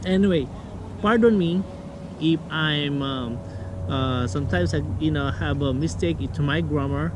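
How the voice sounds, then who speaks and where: A middle-aged man speaks English as a second language, chatty and halting, with a slightly raised voice. He is recorded by a camera on a lakeside park table, with clear outdoor noise behind him. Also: on the high side. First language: not English. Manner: chatty, halting, with many restarts and fillers. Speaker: vlog host. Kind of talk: casual family vlog chat.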